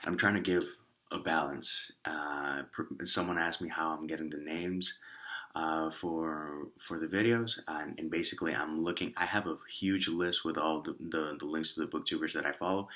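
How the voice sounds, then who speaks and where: A man with a raspy voice and a lingering cough, casually rambling through shoutouts with many restarts and asides. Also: rumbling low, fairly even intonation, normal speed. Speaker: author and vlogger.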